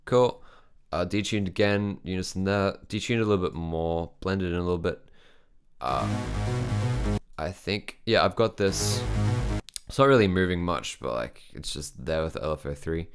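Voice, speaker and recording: Deep-voiced man walking through synth patches. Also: moderate pace, music in the background. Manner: loose, filler-heavy thinking aloud. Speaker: music producer. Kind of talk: casual software tutorial.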